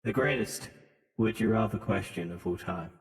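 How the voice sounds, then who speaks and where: A man's voice: lowish voice, normal speed. A man with a British accent leaning American, joking and swearing in casual podcast banter through a voice modulator. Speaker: podcast co-host. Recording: echoey, long reverb on voice.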